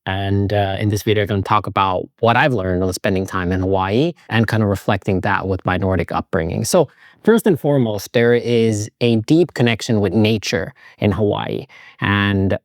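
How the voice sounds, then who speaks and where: Man, middle-aged, fairly low voice, reflective casual vlog talk, dry close sound. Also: moderate pace, no room sound. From Finland. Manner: reflective, loose, hedging with "like" and "kind of". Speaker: vlogger.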